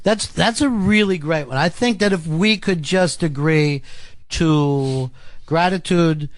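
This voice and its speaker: A man's voice: a little high, slow pace, no room sound. A man talking as a guest in casual radio chat, thoughtful, with many restarts and "you know"s.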